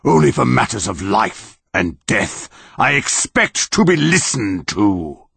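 A man voicing an ancient, towering tree monster that speaks in a slow, booming, declaiming way with a gravelly edge. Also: sing-song, a bit bright, dry recording, exaggerated voice. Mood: solemn.

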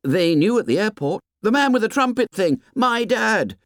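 A man's voice: high-pitched, very animated, normal speed, no echo, studio quality, pitched above the performer's own voice. A man voicing an anxious, insistent schoolboy with a pleading, colloquial manner in an audiobook. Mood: indignant. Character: anxious, insistent schoolboy with childish grammar, indignant. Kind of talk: audiobook character voice.

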